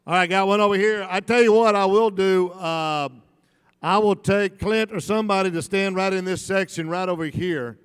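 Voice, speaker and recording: Auctioneer guy chanting bids nonstop, kinda high clean voice, big echoey banquet hall. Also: fairly bright tone, smooth. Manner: rapid rhythmic bid-calling, joking banter with the crowd. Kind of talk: live charity auction chant. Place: large banquet hall at a gala. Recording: long reverb in a big hall.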